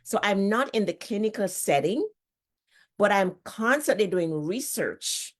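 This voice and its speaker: A low-pitched middle-aged woman with a Jamaican accent talks in a relaxed, conversational way. She often repeats words as she goes, like a nurse explaining things to a friend. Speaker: nurse and author, guest. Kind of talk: casual conversational talk.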